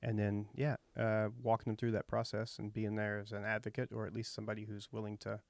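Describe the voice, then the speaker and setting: A man in his thirties from Texas with a light Texas accent, hosting a relaxed, folksy interview and thinking out loud with fillers. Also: fairly low pitch, fast, creaky, no echo. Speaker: podcast host. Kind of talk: casual interview chat.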